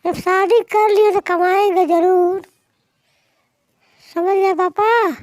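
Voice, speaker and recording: Woman acting a headstrong young daughter, cartoon, very high voice, unhurried, pleading and scolding. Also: fairly even intonation, a little mellow, no echo. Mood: pleading, insistent. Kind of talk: Hindi moral-story cartoon dialogue.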